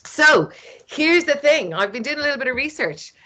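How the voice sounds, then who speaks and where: A woman from East Cork with an Irish accent, hosting an online launch event in a lively, playful, encouraging way. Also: high-pitched, moderate pace. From Ireland. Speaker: host. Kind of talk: upbeat webinar hosting. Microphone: video call.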